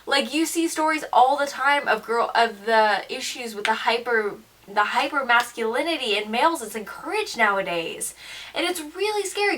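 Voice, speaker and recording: A teenage young woman vlogging in a casual, slangy storytelling style. Her voice is high and bright, and she delivers things with animated emphasis and mimicked asides. She sounds a little way off from the microphone. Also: far from the mic. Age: teens, seventeen.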